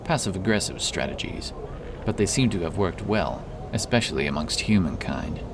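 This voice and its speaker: Deep-voiced male fantasy narrator. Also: expressive, moderate pace, noisy background. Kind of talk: dramatic fantasy audiobook narration. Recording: clear background ambience under voice.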